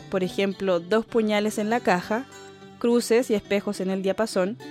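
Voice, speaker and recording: A woman presenting an educational lesson in a clear, measured, scripted narration. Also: on the high side, a little mellow.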